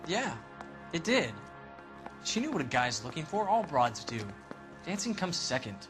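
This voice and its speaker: An actor playing a brash, defensive young 1940s sailor under questioning in a video game, talking in blunt slang. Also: male voice, fairly high pitch, big pitch swings, a little slow, over music. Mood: bitter. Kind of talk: video game cutscene acting.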